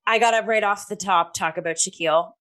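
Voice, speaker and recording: A woman's voice: medium pitch, a little fast, fairly bright tone. A young woman co-hosting a talk show, chatting casually and effusively as she reviews. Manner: enthusiastic, effusive. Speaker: theatre talk show co-host.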